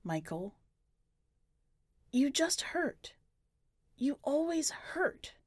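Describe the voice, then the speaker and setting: A woman's voice: sits high, sing-song, extremely slow, darkish tone, no echo, voice pushed up, heavily acted. Woman as wounded young boy, pleading, wary, storybook dialogue, dry close recording. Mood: hurt, accusing.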